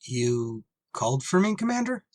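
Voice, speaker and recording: A man's voice: medium pitch, very animated, normal speed. A man acting a wry, duty-bound shuttle pilot in a scripted retro radio drama. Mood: wry, dutiful. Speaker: actor and first-person narrator in an audio drama. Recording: clear background noise.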